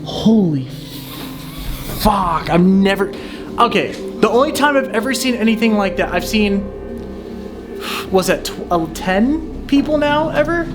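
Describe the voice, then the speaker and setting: A young man talking in an excitable, rambling way, with a slightly raised pitch. He swears and repeats himself as he chats. Clear music plays behind his voice. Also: a little slow, long pauses. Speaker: paranormal channel host. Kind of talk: casual excited chat.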